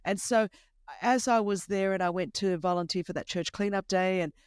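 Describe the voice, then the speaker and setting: A woman in her fifties with an Australian accent whose first language is Greek, telling a lively, humorous personal story as a podcast host. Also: mid-range voice, moderate pace, clear, resonant tone, dry recording, clean studio sound. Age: 50s. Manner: animated, emphatic, humorous storyteller. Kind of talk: conversational testimony storytelling.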